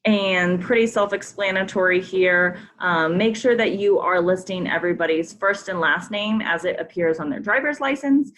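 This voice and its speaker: A woman's voice: fairly low pitch, normal speed, hardly any pauses, a bit bright. A woman from a sports program staff, leading a coach conference call and walking through forms with many filler ums. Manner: friendly, frequent ums, polite reminders. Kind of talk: instructional briefing with screen walkthrough.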